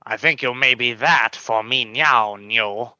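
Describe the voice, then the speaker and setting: An actor playing a proud fanged alien scientist in a comedy radio play, speaking in formal, deliberate phrases. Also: male voice, medium pitch, bright, forceful tone, dry recording. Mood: tender.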